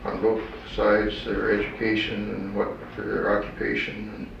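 Gravelly interviewer, distant and echoey. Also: male voice, on the low side, completely flat delivery, unhurried, a bit bright. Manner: hesitant, many uhs and restarts. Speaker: oral history interviewer. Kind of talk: recorded oral history interview. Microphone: tape recorder microphone. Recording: distant, echoey old tape recording with hiss.

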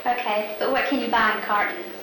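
A woman interviewer leads with patient, coaxing questions, her voice a bit high. She sits back from the mic in a very echoey space, with steady noise underneath. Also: fairly quick. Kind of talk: prompting word questions. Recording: echoey, distant, noisy recording.

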